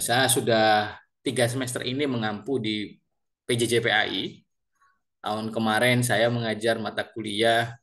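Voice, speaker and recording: A man's voice: lowish voice, gravelly, fairly bright tone. A man in his thirties, a university lecturer with a Banyumasan ngapak Javanese accent, introducing himself to his class in a chatty way with many fillers and repeated words. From Central Java, Indonesia. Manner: lecturer addressing students politely.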